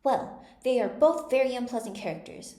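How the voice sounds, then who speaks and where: Woman doing a high, prim little girl. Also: moderate pace, dark tone, reverberant, natural voice. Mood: indignant. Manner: earnest, prim. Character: polite, thoughtful, indignant little storybook girl.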